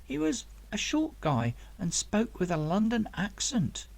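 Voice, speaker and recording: A man's voice: sits high, wildly swooping pitch, unhurried, vocal fry, pitched above the performer's own voice, exaggerated voice. A man voicing a helpful young woman, a lab assistant, with a matter-of-fact manner in a storybook reading. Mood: helpful, recalling.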